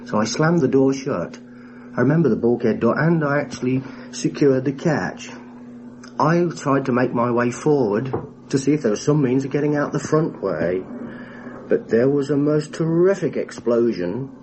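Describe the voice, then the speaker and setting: Male survivor interviewee, average pitch, vivid first-person telling, lightly hissy vintage radio sound. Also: lively intonation, moderate pace. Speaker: air crash survivor recounting his wartime experience. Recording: hissy 1960s radio documentary recording.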